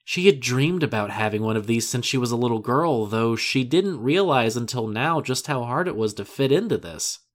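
A man's voice: medium pitch, expressive, normal speed, hardly any pauses. A man reading scripted narration for an audio drama.

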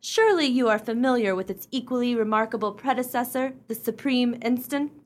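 A woman acting in a radio play as a haughty, high-minded society matron with a prim, emphatic delivery. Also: fairly quick, lightly acted. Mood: earnest, lofty.

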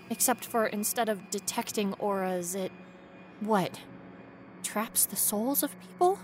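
A woman's voice: a little high, noisy background. Woman acting an intense, frightened young ghost researcher. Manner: intense, breathy, dropping to whispers and rising to cries. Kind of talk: dramatic first-person horror audio storytelling.

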